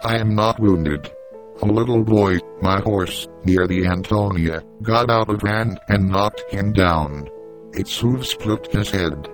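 A man's voice: on the low side, close to an everyday voice. A man playing a blunt, urgent Roman soldier in a dramatised religious audiobook. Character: blunt Roman soldier, brash, urgent, desperate to save a boy. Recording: music bed behind the voice.

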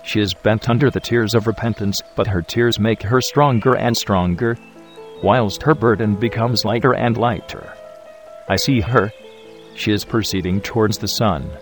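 A gentle, serene teacher , played by a man, who speaks in a calm, solemn way. His voice sits slightly low. Faint music plays behind him. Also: moderate pace, close to an everyday voice. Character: gentle, serene male spiritual teacher Jesus. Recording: music score underneath.

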